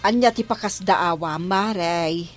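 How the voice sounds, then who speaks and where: A woman's voice: lowish voice, takes it slowly, over music. A woman playing a chatty, gossipy female village councilor in a comedy drama.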